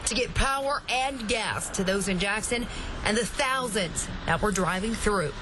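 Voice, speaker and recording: A woman's voice: mid-range voice, lively intonation, unhurried. A woman narrating a scripted local television news report. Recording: noisy, with ambient background sound.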